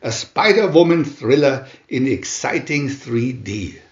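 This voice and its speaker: A man narrates a comic adventure in a theatrical announcer style. He speaks slowly and with pauses, his pitch swinging widely. The recording is roomy and somewhat dull. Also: very animated. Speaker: narrator reading aloud a picture-reel booklet.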